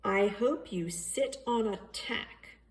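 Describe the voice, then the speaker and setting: A woman's voice: mid-range voice, takes it slowly, natural voice. A woman voicing a grumpy, aggrieved little boy from a storybook, complaining in a whiny, sulky, emphatic way. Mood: spiteful. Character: grumpy, aggrieved little boy narrating his awful day.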